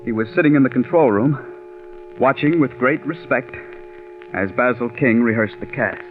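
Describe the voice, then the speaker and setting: Man playing a bitter scriptwriter, intense, brooding, medium pitch, 1940s radio drama, dull recording with music behind. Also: moderate pace, close to an everyday voice. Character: bitter, stubborn, overworked male radio scriptwriter. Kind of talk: 1940s radio acting with first-person narration.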